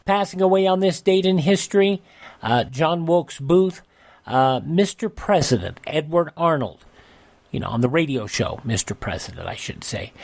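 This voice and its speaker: Halting, conversational male radio host. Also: on the high side, lively intonation, creaky, darkish tone. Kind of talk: radio almanac reading with casual asides.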